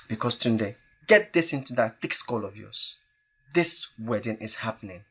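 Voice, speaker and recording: A man's voice: fairly low pitch, lively intonation, normal speed, fairly bright tone, breathy edge, exaggerated voice. Earnest, apologetic young man played by an actor. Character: earnest, apologetic young male friend of groom. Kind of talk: audio drama acting.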